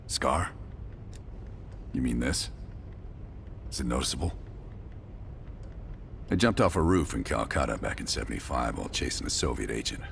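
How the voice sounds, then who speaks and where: A cool-headed veteran covert operative from a spy game, with a rock-bottom voice full of grit. He delivers terse, dry lines in a measured way, over a faint rumble. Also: a bit bright, full character voice. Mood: dry, matter-of-fact. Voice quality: low gravelly voice. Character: gruff, calculating, cool-headed veteran male spy team leader. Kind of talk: cinematic game dialogue acting.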